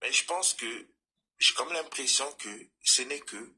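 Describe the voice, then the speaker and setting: A man from Congo-Brazzaville calling into a live stream, speaking formally and deliberately about politics. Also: medium pitch, pushed and bright, husky. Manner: articulate, formal, deliberate phrasing. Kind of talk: measured political commentary.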